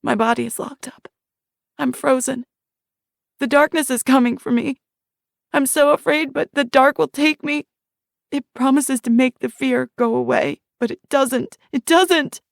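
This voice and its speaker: A frightened young woman's voice, pitched high like the small girl she once was, as a woman narrator puts her on. She recalls in breathless, trembling phrases, with a gravelly edge and a dry, close sound. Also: steady tone, measured pace, no echo. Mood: terrified.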